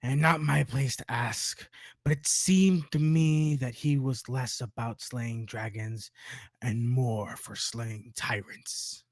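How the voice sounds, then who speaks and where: A man's voice: mid-range voice, fairly even intonation, slow, dry recording. A man voicing a sly, shrewd goblin merchant in a dry, knowing, understated manner at a script table read.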